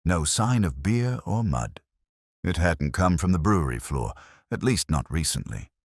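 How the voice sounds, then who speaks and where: A man's voice: sits low, very animated, a little slow, dry recording. A man narrating a scripted mystery story in a dry studio recording.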